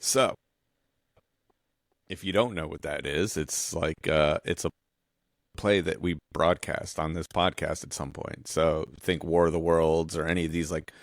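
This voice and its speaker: Deep-voiced American man co-hosting a podcast. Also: steady tone, measured pace, creaky, no echo, studio quality. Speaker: podcast host. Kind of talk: casual chat.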